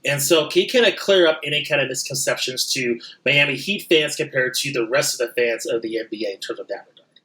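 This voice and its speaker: Bright-voiced young man interviewing casually. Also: mid-range voice, quick pace, low-quality recording. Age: young adult. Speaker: podcast co-host, interviewer.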